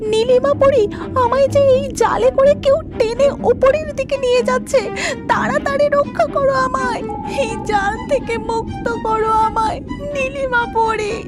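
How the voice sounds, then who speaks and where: A woman's voice: very high-pitched, fairly even intonation, moderate pace, over music. A woman voicing a cautious, timid young fairy in mermaid disguise for a cartoon. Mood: frightened, pleading. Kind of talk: cartoon character acting.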